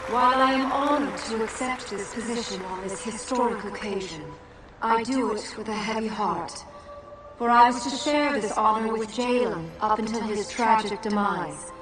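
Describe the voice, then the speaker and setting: A woman playing a solemn, dignified leader in a video game, giving a formal, measured public address. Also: fairly high pitch, natural voice. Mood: solemn, sorrowful. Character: solemn, dignified female leader of digital beings. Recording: echoing, distant, music behind.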